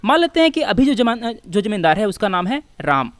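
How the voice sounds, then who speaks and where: A woman's voice: mid-range voice, very animated, a little fast, no echo. A woman with an Indian accent lecturing as an exam-prep teacher, explaining step by step with rhetorical questions and repeating key phrases for emphasis. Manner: switches between Hindi and English.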